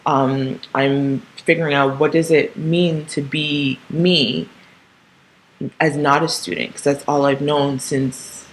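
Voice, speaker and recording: A woman's voice: deep voice, little pitch movement, takes it slowly, slight background noise, poor audio. A woman in her thirties who grew up in Canada talks through a casual solo podcast monologue, rambling with frequent ums, restarts and tag questions. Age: 30s. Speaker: podcast host.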